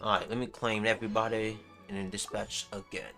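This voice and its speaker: A man's voice: fairly low pitch, flat delivery, a little fast, creaky, sharp tone, soft background music. A man hosting a casual gaming livestream, talking in a laid-back, slangy way. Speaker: livestream host.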